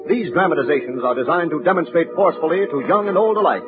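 A man's voice: on the high side, expressive, quick pace. A man announcing and narrating in emphatic, dramatic 1940s radio style. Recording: tinny old 1940s radio recording with music.

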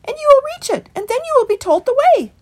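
Woman playing a brisk, very high little mouse, helpful, quick. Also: very animated.

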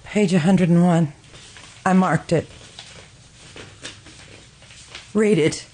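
A woman's voice: on the low side, unhurried, warm tone. A woman playing a guilt-stricken, distraught woman in an old-time radio drama, earnest and melodramatic. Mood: anguished, insistent.

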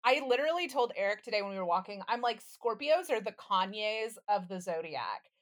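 A woman's voice: mid-range voice, lively intonation, fairly quick. A woman co-hosting a podcast, bantering casually in an emphatic, candid way and swearing freely. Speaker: podcast co-host.

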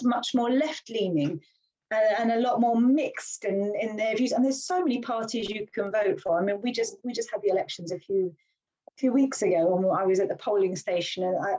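A British woman, a village councillor, talking in an animated, earnest way as a guest in a casual podcast conversation. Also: fairly high pitch, slightly rough. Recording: slightly dull lo-fi sound.

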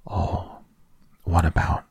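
A man voicing a gentle, slow-witted bear in a storybook, speaking hesitantly and earnestly. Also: no room sound, close to an everyday voice. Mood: puzzled.

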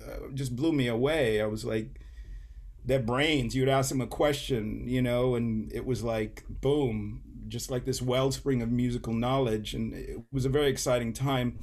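A middle-aged man from Saint Kitts talks as a guest musician in a relaxed interview. He rambles and doubles back, and he laughs often between his thoughts. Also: mid-range voice, moderate pace.

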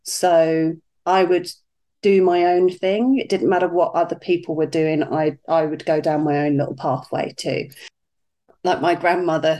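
Chatty British woman, lowish voice. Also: darkish tone. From United Kingdom. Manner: chatty, easygoing, with fillers. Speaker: podcast guest. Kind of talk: casual interview chat.

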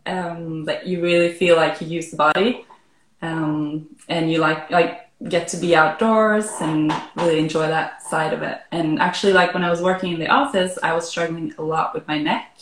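A woman from Norway chatting casually as an interview guest, relaxed and full of "like" and "um", heard over a remote call. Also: deep voice, normal speed, echoey room, poor audio. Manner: relaxed, frequent "like" and "um". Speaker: arborist guest.

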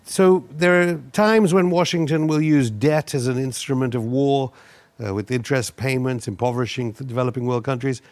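A man presents and interviews in a broadcast style. His voice is of middling pitch. He builds long, wordy sentences with a pointed edge. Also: fairly even intonation, normal speed. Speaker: interviewer, show host.

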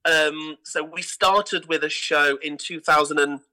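A British man with a very bright, forceful voice that sits a little high for a man. He talks with enthusiasm, in the conversational way of an interview guest explaining his work. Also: steady tone, measured pace, low-quality recording. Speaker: guest, artistic director of a pantomime theatre company.

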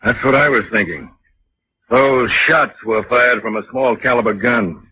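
An actor playing a shrewd police inspector in a 1940s radio drama, questioning with dry, sardonic authority. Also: male voice, mid-range voice, unhurried, natural voice. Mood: dry, probing. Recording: muffled, noisy 1940s radio recording.

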